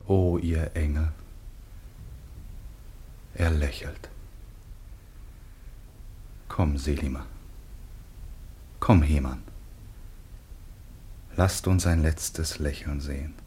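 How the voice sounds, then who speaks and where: An actor playing a grave, sorrowful grown son at a deathbed, hushed, measured and reverent, in solemn classical radio drama. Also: male voice, very deep voice, fairly even intonation, slight background noise, exaggerated voice.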